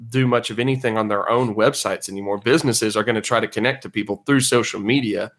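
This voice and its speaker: A man's voice: fairly low pitch, steady tone, fast talker, a bit bright. Joking man co-hosting a podcast. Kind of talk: joking casual podcast banter.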